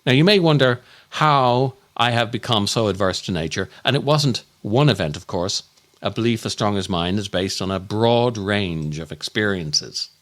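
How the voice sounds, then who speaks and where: A man delivering a scripted comic monologue as a podcast host, in a grumpy, exasperated, mock-appalled and deliberate way. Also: lowish voice, animated, a bit bright.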